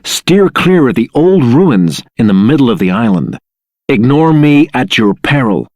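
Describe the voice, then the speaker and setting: An actor playing a wary, earnest, thoughtful adventurer in a video game, with a husky voice. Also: male voice, mid-range voice, big pitch swings, unhurried, darkish tone, no room sound. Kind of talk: video game character acting.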